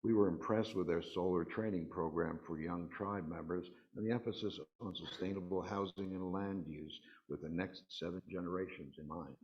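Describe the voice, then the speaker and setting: A man's voice: sits low, steady tone, moderate pace, hardly any pauses. A man reading personal stories aloud at a worship service, in a dull, slightly muffled recording.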